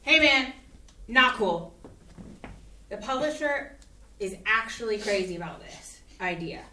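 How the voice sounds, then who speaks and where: A woman plays a trendy, enthusiastic publishing type in a stage play. She speaks slowly in a higher voice, heard from a distance in an echoey room. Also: lively intonation, pushed and bright, a little hiss or hum. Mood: upbeat, persuasive. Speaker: actor in a staged play reading. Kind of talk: scripted community theatre acting. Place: indoor playhouse staged reading.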